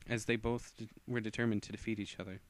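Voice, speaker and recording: A man's voice: lowish voice, little pitch movement, fast. A man narrating fan fiction as an amateur reader, often stumbling and rereading phrases.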